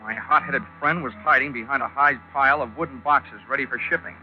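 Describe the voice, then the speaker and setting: An actor playing a wisecracking, hardboiled male investigator in a 1940s radio drama, with a wry, deadpan, tough manner. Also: mid-range voice, brisk, faint music behind, natural voice. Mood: wry narrating. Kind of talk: 1940s radio detective narration and acting. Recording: dull old 1940s radio recording.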